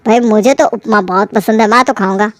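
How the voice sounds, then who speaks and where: A woman's voice: mid-range voice, a little fast, no echo, voice pushed down, close to an everyday voice. A woman voicing a sensible adult elder brother in a cartoon family story, reasoning and mildly chiding. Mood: approving. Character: adult elder brother, sensible husband defending his wife's healthy cooking.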